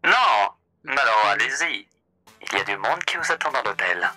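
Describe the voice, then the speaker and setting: A man's voice: medium pitch, expressive, normal speed, sharp tone, soft background music, poor audio. A man voicing a polished, theatrical hotel host in an online roleplay, with formal, old-fashioned phrasing. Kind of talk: in-character roleplay chat.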